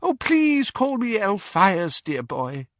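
A man voicing a frail, fussy old male wizard with a high-pitched, wheezy voice. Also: wildly swooping pitch, takes it slowly, fairly bright tone, no echo, studio quality, exaggerated voice. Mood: fond. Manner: flustered, tearful, indignant. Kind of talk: audiobook character voice.

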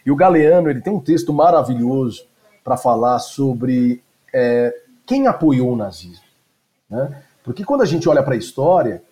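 A man's voice: mid-range voice, sing-song, normal speed, dark tone. A male teacher giving a lecture, digressing with frequent asides and fillers as he talks. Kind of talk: lecture discussion.

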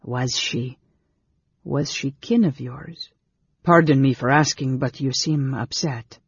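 A man's voice: medium pitch, slow pace, slightly rough, dry recording, muffled recording, heavily acted. A man voicing a cultivated, guarded male stranger in a fantasy audiobook, formal, polite and tightly controlled. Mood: restrained, tense.

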